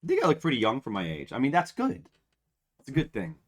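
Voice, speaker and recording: A man's voice: medium pitch, wildly swooping pitch, fast. A man in his forties, a game streamer, chatting casually and ramblingly to his viewers at home, with self-mocking humor. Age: 40s.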